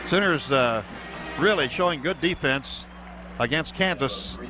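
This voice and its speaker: An American man calling football play-by-play on the radio in clipped running descriptions that get excited on big plays. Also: fairly high pitch, measured pace, music in the background. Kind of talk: live American football commentary. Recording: dull, noisy radio broadcast from stadium.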